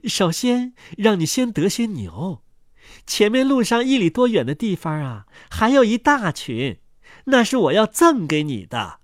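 Storybook role: young river maiden played by a man, raised pitch, kind and welcoming. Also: sing-song, measured pace, no room sound, clean studio sound.

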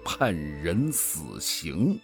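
An actor playing a weary, guilt-ridden older male commander in a radio drama, brooding and deliberate with sighs. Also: low voice, sing-song, creaky, music in the background, full character voice. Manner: brooding, deliberate, sighing soliloquy.

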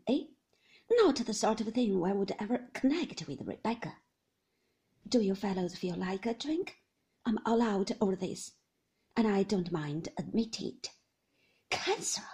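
A rattled, bitter blackmailer, jerky and mocking, his voice husky and gravelly, as a woman reading aloud puts him on. He talks with lively swings of pitch. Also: unhurried, pauses often, exaggerated voice. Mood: shaken. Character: shaken, sneering blackmailer man.

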